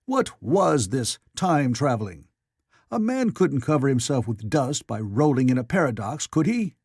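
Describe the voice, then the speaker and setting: A man's voice: medium pitch, sing-song, moderate pace, darkish tone, breathy edge, dry recording, studio quality. A man voicing a jovial, mocking newspaper editor in an audiobook, fervent and teasing. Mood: incredulous. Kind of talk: audiobook character voice.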